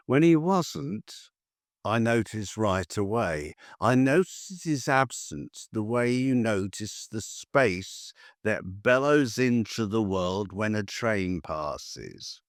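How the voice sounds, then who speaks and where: Very slow, expressive man reading prose. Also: on the low side, dry recording, studio quality. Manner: measured, deliberate, with long pauses between phrases. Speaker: writer reading own prose. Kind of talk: literary prose reading for a podcast.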